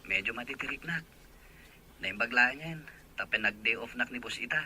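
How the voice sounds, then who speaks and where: A man's voice: medium pitch, lively intonation, moderate pace, bright, forceful tone, slightly rough, full character voice. A young man playing a polite, earnest boyfriend in an Ilocano audio drama, speaking a little hesitantly. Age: young adult.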